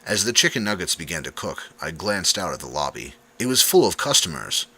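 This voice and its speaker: Very deep, forceful male story narrator. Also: big pitch swings, brisk, husky. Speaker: audiobook narrator. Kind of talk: dramatic scripted first-person story narration.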